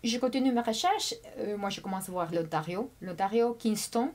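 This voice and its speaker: A woman whose first language is Spanish, a guest chatting casually in an interview, often pausing, repeating words and laughing. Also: medium pitch, expressive, a little mellow. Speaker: immigrant guest.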